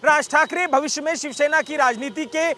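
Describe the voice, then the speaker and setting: Male news narrator. Scripted read, extremely high and forceful, noisy backdrop. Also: a little fast. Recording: clear background noise.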